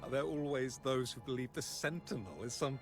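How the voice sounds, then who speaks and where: A man's voice: medium pitch, close to an everyday voice. An actor voicing a mocking, pompous religious zealot villain in a dramatic video game, drawing out his words. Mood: mocking menace. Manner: mocking, pompous, drawn-out delivery. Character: sneering, theatrical male religious zealot villain. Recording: loud music behind. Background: music.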